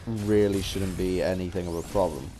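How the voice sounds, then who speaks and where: A man giving an unscripted opinion ramble as a gaming vlogger, halting and often restarting his phrases. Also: sits low, fairly even intonation, warm tone, faint music behind.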